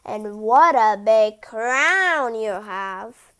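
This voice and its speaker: A woman voicing a hesitant, flattering storybook wolf with halting, drawn-out speech. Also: fairly high pitch, clean tone, pitched below the performer's own voice, exaggerated voice. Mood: fawning.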